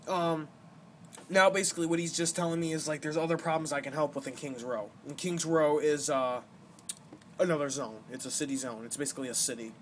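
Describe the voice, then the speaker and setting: A young man giving casual gameplay commentary, explaining things in a halting, rambling way as the host of a gaming video. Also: on the high side, fairly even intonation, a bit bright. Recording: noisy amateur home recording.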